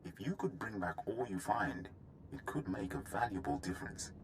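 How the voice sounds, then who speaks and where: Very deep-voiced, cautious military officer. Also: male voice, sing-song, noisy background. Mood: cautious, advising. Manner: formal, measured, deliberate. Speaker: video game character. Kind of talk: scripted game dialogue.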